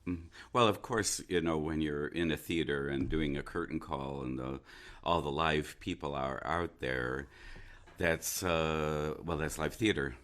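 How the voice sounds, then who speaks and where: Very deep-voiced, unhurried American man. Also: a bit bright. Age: middle-aged. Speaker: stage actor, interview guest. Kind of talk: casual interview conversation.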